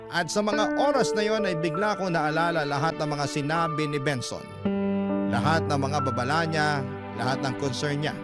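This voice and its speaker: A man, the host of a radio drama program, reading a first-person letter as steady scripted narration. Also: a little high, moderate pace. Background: loud music.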